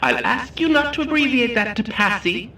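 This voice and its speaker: An actor playing a cheeky, theatrical Irish ghost-tour guide in an audio drama, announcing over a coach microphone with showy, insulting patter. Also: male voice, extremely high pitch, lively intonation, moderate pace, vocal fry, sharp tone, heavily acted. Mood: gleeful showmanship. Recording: echoey on-board PA, slightly distant, faint noise.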